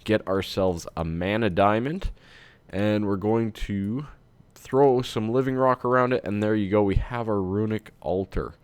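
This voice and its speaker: A man's voice: lowish voice, a little slow, clear, resonant tone, no echo. A man with a stuffed-up, congested voice, chatting casually while he plays a game and thinks aloud as host. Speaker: let's-play host. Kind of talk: casual gameplay commentary.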